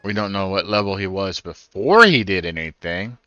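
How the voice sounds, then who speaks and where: A man casually narrates his playthrough, musing aloud as he goes. His voice is low and clear, recorded dry with game music behind it. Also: animated, moderate pace, pushed and bright, dry recording, highs cut off. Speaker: gaming streamer. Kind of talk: casual first-time playthrough commentary.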